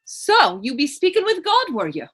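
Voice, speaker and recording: A woman's voice: sits high, wildly swooping pitch, moderate pace. A woman playing a plainspoken, teasing but compassionate woman in readers theater, speaking frank, folksy dialect. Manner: folksy, frank questioning in archaic Irish dialect. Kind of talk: readers theater dramatic dialogue.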